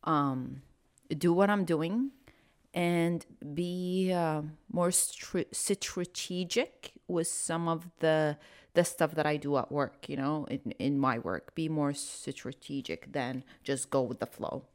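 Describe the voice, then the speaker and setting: A woman in her late twenties co-hosting a podcast, talking in a reflective, deliberate way among friends. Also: low-pitched. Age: late 20s. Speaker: podcast co-host. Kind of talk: casual chat among friends.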